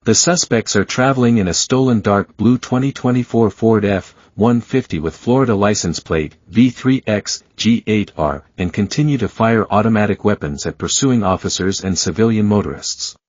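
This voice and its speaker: A man reading a scripted emergency alert bulletin in a formal, even, measured way. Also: lowish voice, no room sound. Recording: dull lo-fi dry broadcast audio.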